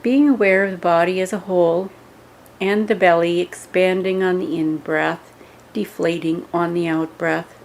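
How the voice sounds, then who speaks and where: A woman giving scripted guided yoga meditation instructions in a measured way, pausing between short phrases. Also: fairly low pitch, fairly even intonation, a little hiss or hum, rough recording.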